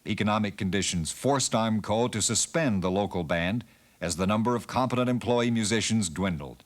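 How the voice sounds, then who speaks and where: A man narrating a documentary in a measured, storytelling delivery. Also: on the low side.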